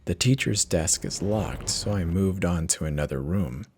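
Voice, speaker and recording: A man's voice: low-pitched, animated, a little hiss or hum. A man giving a theatrical, intense first-person dramatic reading as a horror story narrator. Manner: theatrical, measured, intense delivery.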